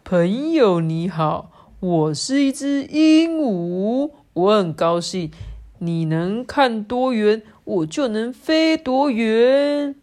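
An eager little pig from a children's storybook as a woman storyteller acts it out. It announces itself boastfully and slowly, stretching its words in a declamatory way, with the pitch lifted a little. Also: expressive, darkish tone. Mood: proud, boastful.

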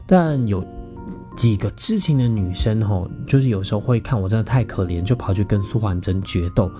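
A man's voice: fairly low pitch, lively intonation, normal speed. A young man with a Taiwanese Mandarin accent, hosting a podcast and telling a personal story expressively and conversationally, often turning to address his listeners. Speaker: podcast host. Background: background music, guitar.

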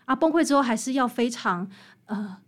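Woman, high voice, Taiwanese Mandarin accent, emphatic storyteller, conversational interview guest. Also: expressive, normal speed, fairly bright tone. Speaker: guest author interviewed about her book.

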